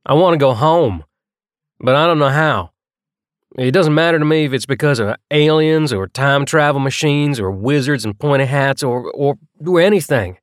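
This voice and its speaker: A man playing a tense, guarded young small-town man in an audiobook, halting and unpolished in his speech. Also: mid-range voice, no room sound, studio quality, pitched above the performer's own voice, natural voice. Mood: frustrated, desperate. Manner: halting, stumbling over words.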